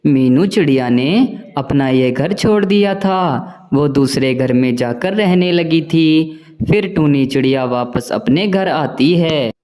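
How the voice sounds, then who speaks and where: Man, cartoon narrator, slow deliberate pace, higher voice, even storytelling.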